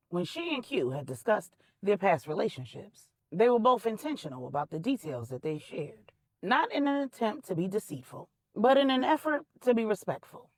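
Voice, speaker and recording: A woman narrating a scripted story in a deliberate, dramatic storytelling manner. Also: medium pitch, dry recording.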